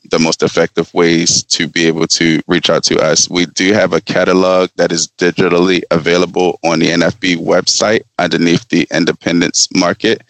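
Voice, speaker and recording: A man's voice: deep voice, dry recording, low-quality recording. A man giving an informal spoken rundown as a staff representative, explaining patiently and repeating himself. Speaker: staff representative of a market selling aids for blind people.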